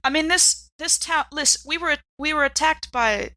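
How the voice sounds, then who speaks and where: A woman playing a tabletop game, improvising conversationally with emphatic, halting phrasing. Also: sits high, sharp tone, no room sound, clean studio sound. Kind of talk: casual improvised in-character conversation.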